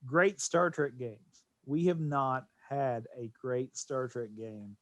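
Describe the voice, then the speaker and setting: A man's voice: medium pitch, slow pace, a bit bright, dry recording. A man co-hosting a podcast, chatting casually about games and films in an enthusiastic, emphatic way. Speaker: podcast co-host.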